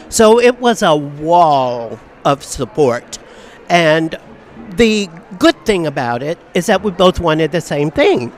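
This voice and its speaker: Older woman, professor type, earnest and measured, repeats key phrases, recorded outdoors. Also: on the low side, slight background noise. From Southern California. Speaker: professor interviewed on a strike. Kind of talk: outdoor news interview. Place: outdoors on a university campus.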